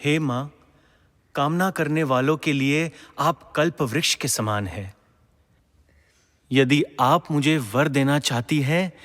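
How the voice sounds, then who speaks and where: Man playing slow-speaking, devout young king. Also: mid-range voice, close to an everyday voice. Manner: reverent, formal, earnest. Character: devout, humble, earnest young king of legend. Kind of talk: devotional mythological audio drama acting. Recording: long reverberant hall echo.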